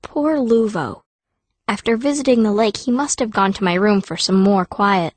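A woman's voice: medium pitch, moderate pace, no room sound, close to an everyday voice. A woman playing a fierce, wry teenage girl mage, narrating her own story in an audiobook. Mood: wry storytelling. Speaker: first-person narrator.

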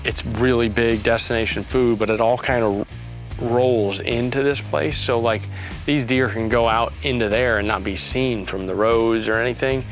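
Man, mid-pitched, casual outdoorsman chatting about land, soft music underneath. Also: fairly even intonation, normal speed. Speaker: land agent and hunter. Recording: faint music bed behind the voice.

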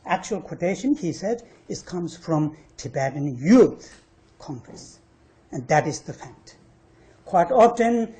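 A man's voice: a little high, dark tone. Emphatic man, non-native English speaker. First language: not English. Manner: emphatic, halting, repeats words for stress. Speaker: panel speaker. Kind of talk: argumentative panel talk. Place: university panel discussion room.

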